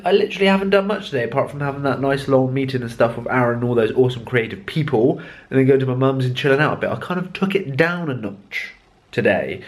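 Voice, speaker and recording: A man with a cold, chatting casually and jokingly to camera in a vlog. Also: medium pitch. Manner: chatty, jokey, rambling. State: congested with a cold. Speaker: vlogger.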